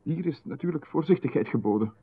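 A smooth, insistent visitor. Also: male voice, on the high side, animated, quick pace. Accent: Flemish. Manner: polite, explaining at length with technical jargon. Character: smooth-talking male visitor, middle-aged, insistent and eerie. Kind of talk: 1990s radio drama. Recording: phone-like radio recording.

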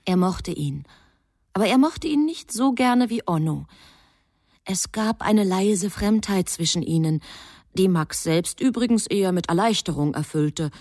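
A woman narrating a literary radio drama from a script in a composed, measured storytelling manner. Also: on the low side, a little mellow, dry recording, studio quality.

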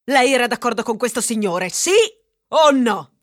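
Woman playing a pompous, bossy, high-voiced castaway leader. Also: expressive, moderate pace, lightly acted. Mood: accusing. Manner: declamatory, accusatory, sarcastic. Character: bossy male castaway leader, woodcutter's son, pompous cannibal demagogue. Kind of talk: theatrical absurdist comedy acting.

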